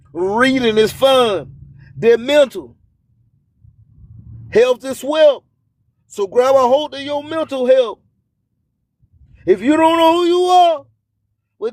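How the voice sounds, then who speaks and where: A man's voice: very high voice, darkish tone, no echo. A man hosting an internet radio show, delivering a motivational spoken-word monologue in a preachy manner full of repeated, rhyming catchphrases.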